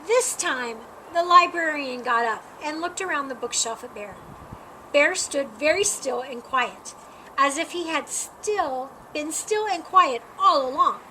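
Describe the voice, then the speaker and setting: A woman narrates a picture book for children in a high, bright voice. Her reading is expressive and unhurried, with some noise behind her. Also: rough recording. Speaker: storybook reader.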